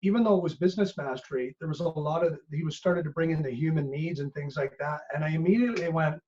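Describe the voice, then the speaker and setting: A middle-aged man with a Canadian accent tells stories in a relaxed podcast conversation. His voice sits a little high for a man, and he acts out quotes as he goes. The audio is muffled and dull. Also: quick pace, a little hiss or hum. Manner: animated storyteller, acts out quotes. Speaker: business coach guest. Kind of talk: casual podcast chat.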